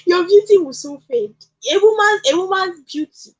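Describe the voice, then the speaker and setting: A young woman with a Nigerian accent in an animated radio discussion, speaking emphatically with repetition and rhetorical questions. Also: extremely high pitch, normal speed. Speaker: radio talk show speaker.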